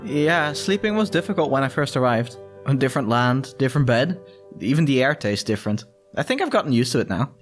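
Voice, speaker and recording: A man's voice: medium pitch, big pitch swings, brisk, close to an everyday voice. A man voicing a modest young shop assistant in a visual novel, polite and earnest. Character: modest young male furry shop assistant, foreign newcomer. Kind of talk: visual novel character read-aloud. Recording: music behind the voice.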